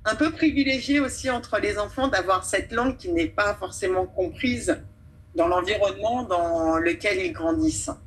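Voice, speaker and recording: A woman's voice: mid-range voice, slight background noise, poor audio. Thoughtful woman from France, hesitant. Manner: thoughtful, pauses often with hesitations. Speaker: actress and children's storyteller, guest. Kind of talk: radio interview, reflective talk.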